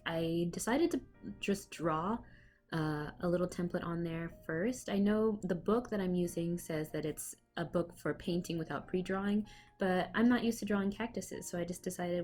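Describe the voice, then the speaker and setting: Woman with a cold rambling over her speed paint, kinda low voice, laptop mic, soft music behind. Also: normal speed. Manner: rambling, with fillers and small laughs. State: has a cold. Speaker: art vlogger. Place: home. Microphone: laptop microphone.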